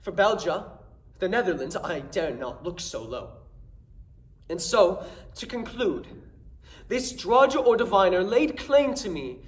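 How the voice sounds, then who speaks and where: A man playing an exasperated, mocking Shakespearean manservant in an animated, declamatory comedy monologue. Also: high-pitched, unhurried, pauses often, pitched above the performer's own voice. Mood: alarmed. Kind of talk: theatrical classical comedy monologue.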